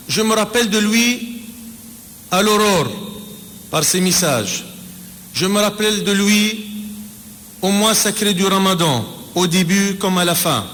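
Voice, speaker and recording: A man in his forties whose first language is Arabic, giving a measured, reflective spoken tribute as an ambassador, pausing and restarting his sentences. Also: high voice, fairly bright tone. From Palestine. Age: 40s. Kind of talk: spoken personal testimony. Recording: reverberant room with faint background noise.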